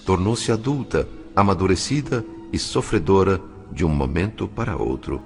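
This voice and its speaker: Grave male narrator, deep voice, literary audio-novel storytelling, measured phrasing, soft music underneath. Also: expressive, gravelly. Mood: solemn, reflective. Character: solemn male narrator of a spiritual family saga. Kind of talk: scripted dramatic narration. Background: faint music.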